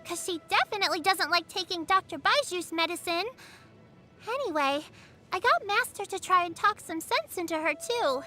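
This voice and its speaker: A woman's voice: very high-pitched, animated, normal speed, bright, forceful tone, exaggerated voice. A woman voicing a sweet, earnest little girl in an anime game, caring, innocent and chatty. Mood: worried. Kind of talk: anime game character voicelines. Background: background music.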